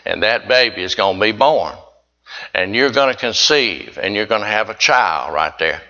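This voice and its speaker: A man preaching speaks the lines of a commanding male deity in a plain, forceful voice. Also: fairly low pitch, expressive, moderate pace. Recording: dull lo-fi recording.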